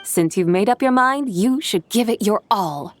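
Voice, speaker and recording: A woman playing a poised, wise and slyly encouraging adeptus in a video game, speaking in a measured, formal manner. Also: medium pitch, expressive, slight background noise, lightly acted. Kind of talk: video game character acting. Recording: loud music behind the voice. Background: game music.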